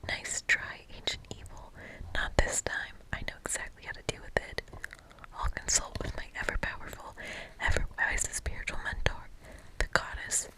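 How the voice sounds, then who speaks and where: A woman's voice: normal speed, lightly acted, low-quality recording. A woman voicing an earnest, workaholic young witch in a whispered game read-aloud, dramatic and fretful. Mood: restless, dramatic. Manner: dramatic, fretful, solemn incantations.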